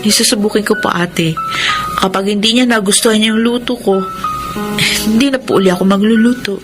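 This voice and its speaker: Actress as humble young Filipino housemaid. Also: female voice, mid-range voice, brisk, over music, close to an everyday voice. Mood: eager, respectful. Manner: deferential, earnest, polite. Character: humble, obedient young housemaid, dutiful daughter. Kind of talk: Tagalog radio drama acting.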